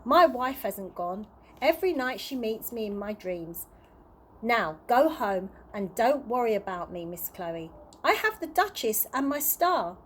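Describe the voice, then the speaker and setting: A woman voicing a kindly, wistful old male tramp from a children's storybook, earnest and gently encouraging. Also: fairly high pitch, big pitch swings, measured pace, a little hiss or hum. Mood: wistful, tender.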